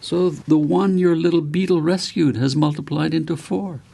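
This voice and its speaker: A man's voice: a little high, fairly even intonation, normal speed, vocal fry, dark tone, slightly rough, voice pushed up. A man voicing a playful old village elder who banters and teases in a novel. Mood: teasing.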